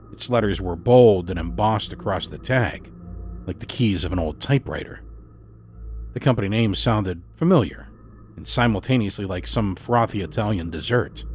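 A man narrating a first-person horror story as a storyteller. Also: deep voice. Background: music score.

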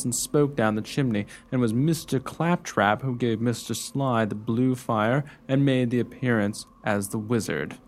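A man's voice: mid-range voice, a little hiss or hum, natural voice. A man playing a gallant, self-assured rescuer and suitor in a radio play, with formal, declamatory delivery. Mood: explaining.

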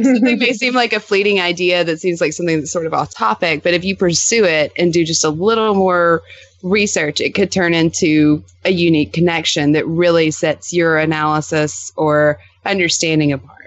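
A woman's voice: lowish voice, no room sound. A woman speaking casually as a podcast guest in a conversational interview.

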